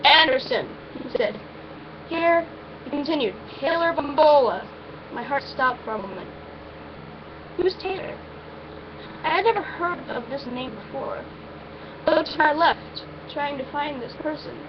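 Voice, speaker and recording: High-voiced woman reading, muffled noisy recording. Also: expressive, a little slow, pauses often. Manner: informal, stumbles and breaks off with asides. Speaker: amateur reader of a story. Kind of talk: first-person story reading, informal.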